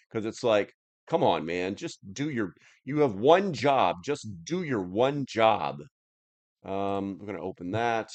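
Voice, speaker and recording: A man's voice: fairly low pitch, no room sound. A man hosting a live stream, chatting casually as he reads and answers comments in a rambling, plain-spoken, slightly grumbly way.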